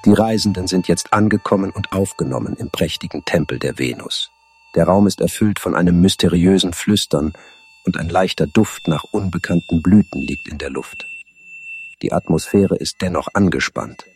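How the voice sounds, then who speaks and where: A man's voice: low-pitched, normal speed, darkish tone, soft background music. A man narrating an audio drama, setting the scene as a scripted storyteller. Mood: mysterious.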